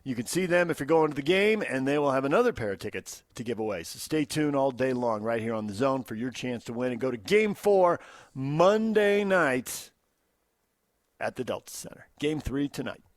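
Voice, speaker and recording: Male sports radio host, conversational with emphatic announcer delivery, middling-low pitch, very dry recording. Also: lively intonation, normal speed, dry recording.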